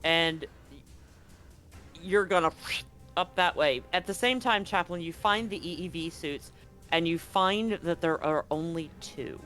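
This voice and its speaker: A man's voice: a little high, sharp tone, faint music behind. A man running a tabletop science fiction game as game master, narrating scenes and rules with frequent restarts. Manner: halting, restarts mid-sentence while describing scenes.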